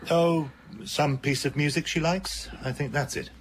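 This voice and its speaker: A man's voice: on the high side, steady tone, a little slow, slight background noise, natural voice. An actor playing a polite, reassuring, slightly uneasy male research doctor in a radio drama. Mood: soothing.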